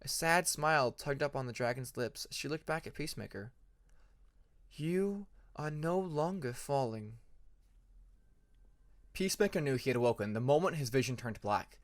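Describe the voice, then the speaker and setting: A man's voice: mid-range voice, lively intonation, fast talker, hardly any pauses, dry recording. A man reading scripted fantasy fiction as an audiobook narrator, in an earnest, dramatic storytelling manner.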